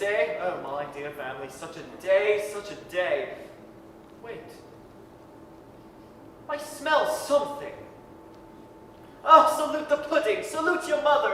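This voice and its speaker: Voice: mid-range voice, fairly bright tone. An actor as a jolly family man, far-off and echoey. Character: jovial, doting Victorian father, humble clerk Bob Cratchit. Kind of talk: 1930s radio-style staged reading. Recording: echoey hall, distant mic, faint noise.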